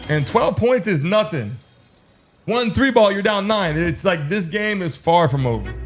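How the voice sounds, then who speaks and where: A man's voice: high-pitched, very animated, unhurried, faint music behind. A man hosting a live sports stream, chatting informally and hyping up his viewers. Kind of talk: livestream chatter and game reaction to viewers.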